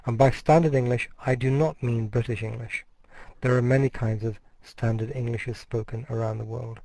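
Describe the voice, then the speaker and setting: A man's voice: mid-range voice, fairly even intonation, normal speed, creaky, mellow, dry recording. A middle-aged man lecturing to university students, explaining step by step and sometimes restarting a word mid-phrase. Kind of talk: recorded course lecture.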